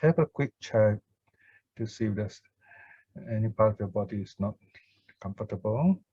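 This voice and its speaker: A man speaking gently and with pauses as a Buddhist teacher, chanting and guiding meditation. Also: on the low side, animated, husky, no room sound. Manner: gentle, measured, pausing between short phrases. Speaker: Buddhist teacher leading puja and guided meditation. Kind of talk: Pali chanting and guided meditation instruction. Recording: dull, lo-fi online call sound.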